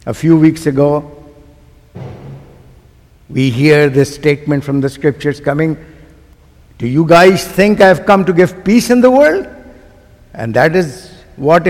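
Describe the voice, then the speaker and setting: Slow-speaking Pakistani preacher in a reverberant church. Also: male voice, mid-range voice. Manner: rhetorical questions put to the listeners. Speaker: guest preacher. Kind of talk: sermon to a church congregation. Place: large church sanctuary. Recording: long hall reverb of a church sanctuary.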